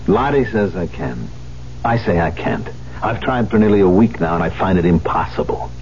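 Bitter proud musician, emphatic and volatile, really swingy intonation, old hissy radio play sound. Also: male voice, deep voice, lively intonation, moderate pace, darkish tone, highs cut off. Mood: earnest, pleading. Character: proud, embittered concert pianist who lost his hand. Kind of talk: 1970s radio drama acting.

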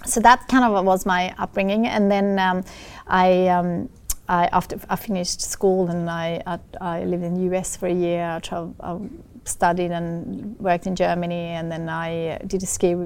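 A middle-aged woman from Sweden with a Swedish accent, talking in an interview as a guest, often pausing with fillers and restarting. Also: on the low side, level tone, smooth. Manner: hesitant, many fillers and restarts. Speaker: guest, business founder. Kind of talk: casual interview talk.

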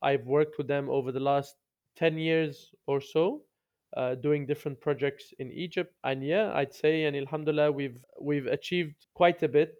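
A man's voice: on the high side, moderate pace, dull audio. A man, telling his story conversationally as a podcast guest. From London, UK.

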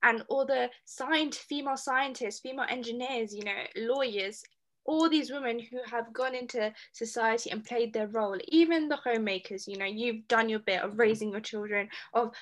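Woman, casual unscripted chat, a bit higher voice, conversational and emphatic. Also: moderate pace. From Indian background. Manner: chatty, animated, fillers, growing emphatic. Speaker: speaker talking about her mother. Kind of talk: casual personal talk.

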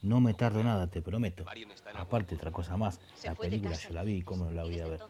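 An actor with an Argentine Rioplatense accent playing an irreverent slacker who bluffs as a film expert, full of chummy, rambling slang in a comedic radio show. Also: male voice, sits low, quick pace, natural voice. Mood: evasive, rambling. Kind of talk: comedic radio theatre banter.

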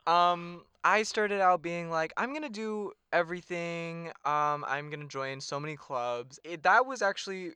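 A young man talks with friends on a podcast in a bright, smooth, slightly raised voice. He is chatty, swears casually and laughs easily. The sound is dry and close, though he sits a little back from the mic. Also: a little high, measured pace, hardly any pauses, dry recording. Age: young adult. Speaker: podcast co-host. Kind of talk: casual chat. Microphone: sits some way back from the mic.

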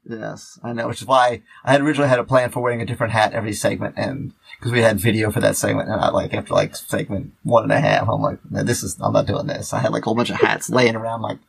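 A man chatting casually as a podcast host, in a halting, rambling way full of fillers. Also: fairly low pitch, creaky, husky. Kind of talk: casual chat.

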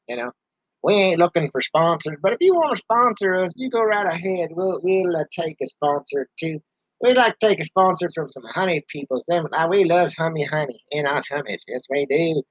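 Man voicing a bear podcast host: rambling, ungrammatical, angry ranter; somewhat high, dull lo-fi sound. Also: fairly bright tone, dry recording. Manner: rambling hick grammar, angry ranting. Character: gruff grumbling male bear podcaster, ranting.

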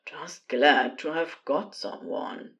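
An agitated, evasive man phoning for help in an audio story, played by a woman. He is embarrassed and strained, speaking in curt, hesitant bursts at a lowish pitch for the performer. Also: expressive, slow pace, muffled recording, heavily acted. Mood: agitated, guarded.

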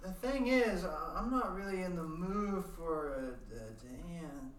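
An awkward, reluctant teenage boy voiced by a woman in an amateur radio drama. The speech is slow and halting in a lowish voice, with an echoey, distant sound. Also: animated. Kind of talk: amateur radio play acting. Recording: echoey, noisy, distant from mic.

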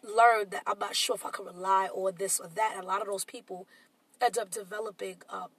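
Congested nasal woman, gravelly and husky. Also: mid-range voice, fairly even intonation, brisk, fairly bright tone, no room sound, distant mic. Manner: reflective, explains at length. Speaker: podcast co-host. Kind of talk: casual chat.

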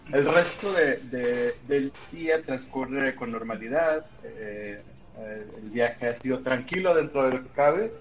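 A man narrating and explaining rules as game master of a tabletop game, relaxed, with many fillers. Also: medium pitch, highs cut off.

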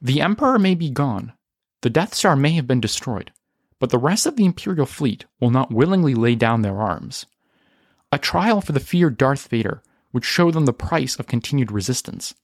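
A firm, principled stateswoman of a rebellion, acted by a man, speaking formally in an audio drama. Delivery is measured but expressive, recorded dry. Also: medium pitch, dry recording. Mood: measured, pragmatic. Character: composed, principled, firm female rebel leader. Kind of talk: audio drama character reading.

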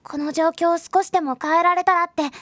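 A woman playing a sweet, earnest little sister in an audio drama, talking eagerly and gently to her big brother. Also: very high-pitched, fairly even intonation, fast talker, no room sound, studio quality. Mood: earnest, thoughtful. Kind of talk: Japanese audio drama acting.

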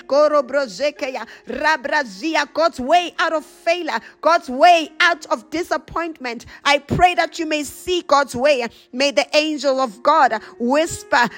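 A high-voiced woman delivers a charismatic prayer as a preacher would to her listeners. She repeats short declarative phrases and moves between plain exhortation and speaking in tongues. Faint music is heard along with her voice. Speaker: preacher praying over listeners.

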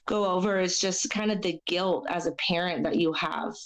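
Raspy, hoarse young woman, earnest. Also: on the low side, steady tone. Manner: halting and earnest, many fillers, near tears. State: hoarse from a chest cold. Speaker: interview guest. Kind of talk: personal testimony in a podcast interview.

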